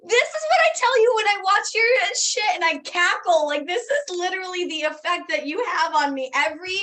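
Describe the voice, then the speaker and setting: A woman chatting casually and asking questions, giggly and expressive. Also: very high-pitched, a little fast. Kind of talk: casual chat.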